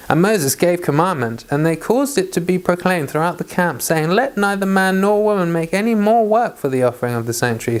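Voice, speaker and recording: Someone reading the bible out loud, medium pitch, trips on a word now and then. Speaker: Bible reader. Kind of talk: reading scripture aloud, read-along.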